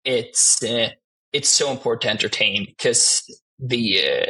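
A young man whose first language is Swedish talks as an interview guest, stopping and restarting as he hunts for the right words. His voice is bright and a bit husky. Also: mid-range voice, poor audio. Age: 20s. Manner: halting, searching for words, many restarts. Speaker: guest, art director. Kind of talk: casual interview talk.

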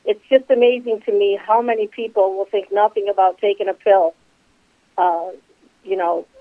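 A woman's voice: mid-range voice, steady tone, moderate pace, no echo. A woman in her sixties speaking as a company co-founder, talking earnestly in a rambling way with many fillers. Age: 60s. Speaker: CBD company co-founder guest. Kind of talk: casual interview talk. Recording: dry tinny phone line.

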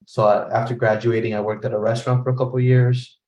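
A man's voice: medium pitch, flat delivery, quick pace, a little mellow, muffled recording. A young man from Colombia, a guest on a conversation show, tells his story in a friendly, candid tone. His voice sits in the middle range and echoes in a roomy space. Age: young adult. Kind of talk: casual interview.

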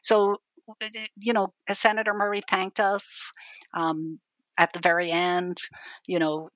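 A woman's voice: medium pitch, level tone, unhurried, fairly bright tone, no room sound. Dry-voiced woman from Ireland, talking shop. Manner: conversational, explaining at length with frequent restarts. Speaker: university IT chief, interview guest. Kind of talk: casual interview conversation.

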